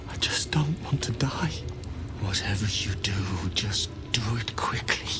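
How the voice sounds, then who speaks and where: A man playing a despairing, long-imprisoned vampire spawn in a fantasy game, weak, breathy and bitter. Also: fairly low pitch, unhurried, in a whisper, music in the background, lightly acted. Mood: frightened, resigned. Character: despairing, broken male vampire spawn prisoner, long captive. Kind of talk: cinematic fantasy game acting.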